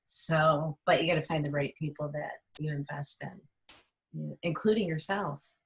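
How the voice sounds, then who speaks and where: A woman with a notably low voice hosts a relaxed interview over a video call. She is encouraging and quick to laugh, and keeps the chat moving with friendly remarks. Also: creaky. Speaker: host.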